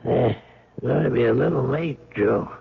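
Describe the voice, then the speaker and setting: An actor playing an uneasy, folksy museum guard in a radio drama, husky, gravelly and hesitant, on a muffled old recording. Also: male voice, medium pitch, normal speed, heavily acted. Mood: uneasy, subdued. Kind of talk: 1970s radio drama acting.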